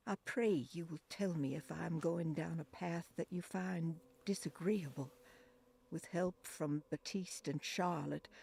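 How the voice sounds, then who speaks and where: An actress voicing a devout 1920s woman reading her prayer diary aloud in a hushed, reverent voice that drifts into whispers. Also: on the low side, heavily acted. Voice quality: husky and gravelly. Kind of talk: game voice-over narration.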